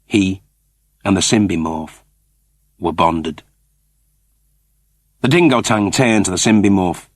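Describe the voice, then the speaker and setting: Low husky male storyteller. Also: animated, a little slow, pauses often, dry recording. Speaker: audiobook narrator. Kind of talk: scripted dramatic storytelling.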